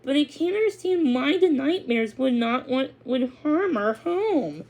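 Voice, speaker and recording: Lady doing a young girl pony from a kids storybook, kinda high voice, loyal n playful. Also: lively intonation, a little slow, a little mellow, low-quality recording. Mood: worried. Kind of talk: children's storybook character dialogue.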